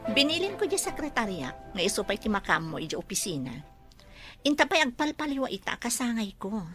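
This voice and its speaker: A fairly high, animated voice for a smug, scheming lady in a radio drama, with clear music behind her. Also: a little fast. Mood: breezy, scheming. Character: self-satisfied, scheming woman celebrating her birthday. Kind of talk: Ilocano radio drama acting.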